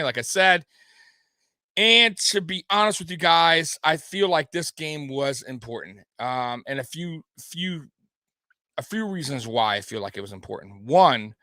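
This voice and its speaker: A man hosts a laid-back sports recap and drifts from one thought to the next as he answers his live chat. He sounds a little tipsy. His voice is bright and forceful, recorded dry and close with no room echo. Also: a little high. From Detroit area. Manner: loose, rambling, talks to the chat. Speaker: host. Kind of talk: casual sports recap show.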